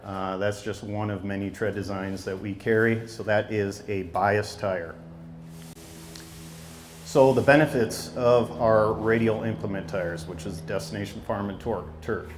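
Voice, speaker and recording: A man's voice: on the low side, steady tone, measured pace, faint music behind. A man in his thirties, a regional tire sales manager, giving a product talk into a handheld mic at an outdoor trade expo, explaining steadily with frequent ums. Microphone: handheld microphone.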